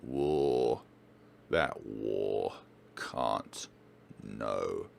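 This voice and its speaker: A man voicing a deranged, possessive high-school girl in a horror visual novel, halting and menacing. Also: vocal fry, a little hiss or hum, full character voice. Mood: low menace.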